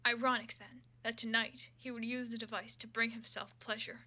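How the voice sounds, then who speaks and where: A woman's voice: on the high side, little pitch movement, normal speed, bright, forceful tone. A woman reading fan fiction aloud with casual, irreverent and sometimes profane commentary.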